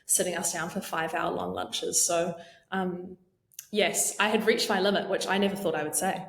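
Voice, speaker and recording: A woman's voice: lowish voice, fairly even intonation, normal speed, vocal fry, echoey room. A young adult woman with a New Zealand accent, chatting casually as a podcast guest with frequent ums. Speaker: podcast guest, marketing lead. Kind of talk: casual interview chat.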